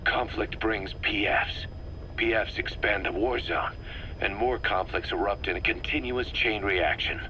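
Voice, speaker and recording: Stern low-voiced mercenary briefing officer. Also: male voice, sharp tone, slight background noise, low-quality recording. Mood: grave, explanatory. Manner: measured, expository, authoritative. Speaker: military intelligence briefer. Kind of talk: scripted game briefing narration.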